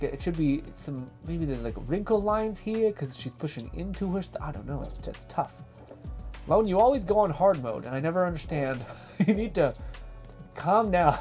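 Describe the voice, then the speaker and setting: A man's voice: a little high, a little mellow. A young man hosting a livestream in rambling casual chat, reading chat aloud and laughing, his voice going from a dry throat. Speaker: livestream host.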